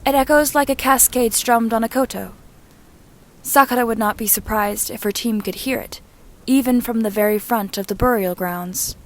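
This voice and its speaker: A woman reading a story aloud as scripted narration, in a measured, descriptive manner like an audiobook reader. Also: fairly high pitch. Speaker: reader and narrator of fan fiction.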